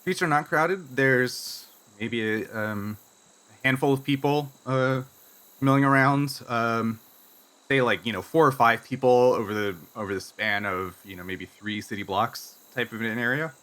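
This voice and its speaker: A man's voice: medium pitch, measured pace, fairly bright tone. A man improvising narration as game master of a tabletop game in a conversational, explanatory way.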